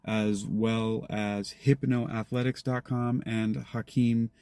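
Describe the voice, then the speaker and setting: A man's voice: level tone, slow pace, warm tone, smooth, no room sound. A man speaking casually as an interview guest about his own creative work. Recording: noisy vehicle-like background rumble.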